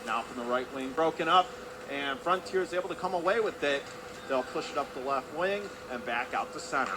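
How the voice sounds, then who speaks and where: A man's voice: mid-range voice, lively intonation, a little fast, background noise. A man doing live radio play-by-play of ice hockey in clipped running phrases from an indoor arena.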